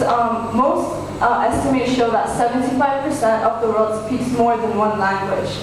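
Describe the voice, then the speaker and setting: A young woman who is a student speaker, giving a rehearsed presentation to an audience. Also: on the high side. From household speaking English and Hindi. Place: large echoey indoor event hall. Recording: very echoey hall, distant, noisy.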